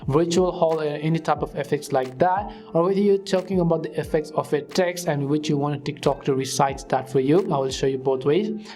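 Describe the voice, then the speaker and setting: A man's voice: fairly high pitch, a little mellow. A man presenting a step-by-step how-to tutorial as voice-over narration. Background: background music with guitar.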